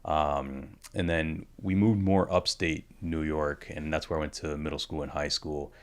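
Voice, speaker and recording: A man in his thirties with a strikingly deep voice, born in the Dominican Republic, answers interview questions. He speaks in a relaxed, reflective way, often stopping to restart his sentences and working in "you know" as he goes. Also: unhurried. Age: 30s. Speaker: dentist, podcast guest. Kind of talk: candid conversational interview.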